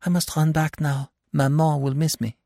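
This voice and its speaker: A man's voice: a little high, flat delivery, normal speed, vocal fry, mellow, dry recording, clean studio sound, pitched above the performer's own voice. A man voicing a shy, polite, merry young woman in an audiobook. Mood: shy, hurried. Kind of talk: audiobook character voice.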